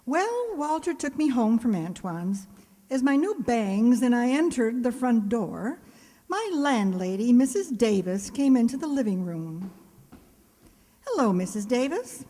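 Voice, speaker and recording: Wry, swooping high-voiced schoolteacher played by an actress. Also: female voice, sing-song, a little slow, dark tone. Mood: dry, breezy. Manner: dry sarcasm. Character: wry, quick-witted woman schoolteacher, hopeful in love. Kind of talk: comedic old-time radio acting. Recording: hall-like, long reverb.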